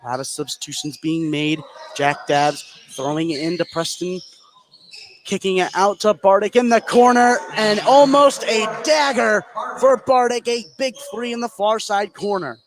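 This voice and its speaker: An American man calls a basketball game live for radio. His voice sits a little high, and he follows the play in short, excitable phrases. The live broadcast has clear background noise from the gym. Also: moderate pace. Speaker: play-by-play commentator. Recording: noisy live sports broadcast.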